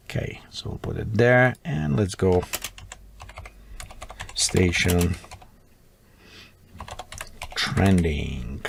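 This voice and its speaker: A man with a Russian accent walks through a software tutorial at his desk, thinking aloud as he types, his English broken and non-native. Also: fairly low pitch, gravelly, a little hiss or hum. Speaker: tutorial host. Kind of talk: screen-recorded software tutorial.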